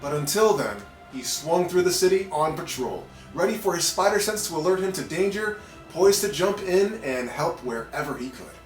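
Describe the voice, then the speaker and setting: A man with a fairly high voice reads a story for children. His narration is animated and playful, recorded in an echoey room with soft music behind it. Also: normal speed. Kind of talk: animated read-aloud narration for kids, with playful asides. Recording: roomy echo with background music.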